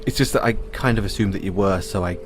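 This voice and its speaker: A British man playing a kindly, chatty owner of a rescued android in an audio roleplay, speaking gently and hesitantly to the listener with small stammers. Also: fairly low pitch, quick pace, over music, close to an everyday voice. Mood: friendly, cheerful.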